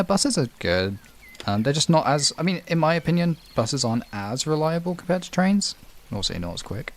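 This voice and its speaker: A man's voice: mid-range voice, gravelly. A British man chatting casually on a livestream, replying to viewers in an informal, rambling, sweary way. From United Kingdom. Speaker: livestream host.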